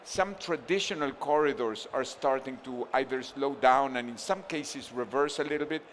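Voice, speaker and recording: A man's voice: medium pitch, moderate pace, a bit bright, slight background noise. A man from Argentina speaking on a conference panel, thinking aloud with frequent restarts and fillers.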